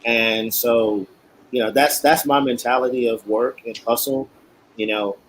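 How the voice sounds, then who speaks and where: A man's voice: medium pitch, steady tone, unhurried, low-quality recording. A straight-shooting man speaks as a guest in a casual talk. His voice sits in an ordinary middle register, and he presses his points with frequent restarts. A faint noise sits behind him. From Queens, New York. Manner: straight-talking, emphatic, many restarts. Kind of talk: casual chat.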